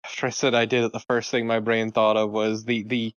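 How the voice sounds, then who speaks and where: A man's voice: lowish voice, flat delivery, a little fast, smooth, no room sound, lo-fi sound. A man chatting casually as co-commentator on a video game playthrough. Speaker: let's play co-commentator. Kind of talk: casual chat.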